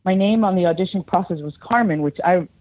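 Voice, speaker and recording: A woman's voice: on the low side, quick pace, no echo. A middle-aged woman, an actress, chatting in an interview, telling stories animatedly and acting out quotes with frequent laughter. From United States. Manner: animated storyteller, acts out quotes, laughs often. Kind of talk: casual interview chat. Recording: tinny phone-line sound.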